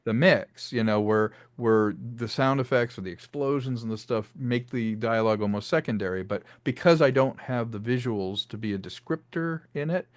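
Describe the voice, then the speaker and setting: A middle-aged man, a director talking as a guest in a casual interview, animated and fond of acting out quotes. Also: mid-range voice, normal speed, hardly any pauses.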